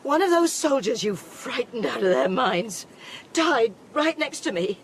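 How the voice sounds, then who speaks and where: An actress playing a dying young woman in a radio drama, weak and halting but wryly defiant. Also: a little high, expressive, a little hiss or hum. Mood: grimly determined. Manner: wry, halting. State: weak, struggling to keep going.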